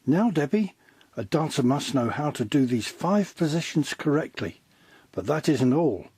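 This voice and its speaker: A man's voice: a little high, lively intonation, moderate pace, a little mellow, breathy edge, dry recording. A man voicing a patient but exacting female ballet teacher in a children's storybook, instructing firmly and encouragingly. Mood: instructive. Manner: instructive, precise, commanding.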